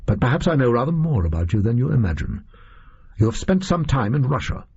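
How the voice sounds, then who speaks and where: A male reader voicing a haughty, analytical Victorian gentleman detective in an audio story, speaking formally and precisely. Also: medium pitch, very animated, brisk, gravelly, breathy edge, dull audio, voice pushed up, full character voice. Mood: coolly challenging.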